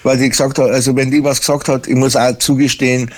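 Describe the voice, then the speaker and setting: A man's voice: mid-range voice, little pitch movement, fast talker, a little mellow. Middle-aged man, phone caller, hesitant casual talk, mid-range voice. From Germany. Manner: hesitant, many fillers and restarts. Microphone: phone line.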